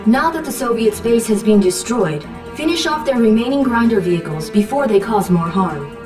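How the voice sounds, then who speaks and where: A woman's voice: on the high side, expressive, normal speed, lightly acted. A woman playing a formal military officer who gives clipped, scripted mission briefings and battle announcements in a video game. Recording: roomy, distant, loud music behind.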